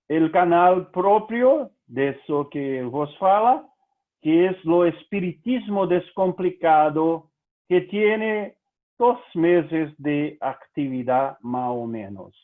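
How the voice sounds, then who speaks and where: Slow Brazilian man, tinny line. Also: fairly high pitch. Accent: Brazilian Portuguese. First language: Portuguese. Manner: slow, deliberate, mixes Portuguese into Spanish. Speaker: lecturer. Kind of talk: formal lecture over video call. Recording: tinny phone-like audio.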